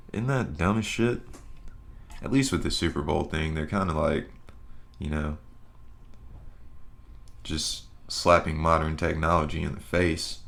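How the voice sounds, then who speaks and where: Young male podcast host, deep voice, loose rambling delivery, casual swearing. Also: creaky, a bit bright. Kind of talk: casual solo podcast chat.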